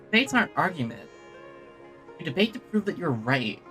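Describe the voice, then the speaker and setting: A man streaming a video game, informally reading dialogue aloud and thinking out loud as he plays. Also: fairly high pitch, very animated, normal speed, music in the background.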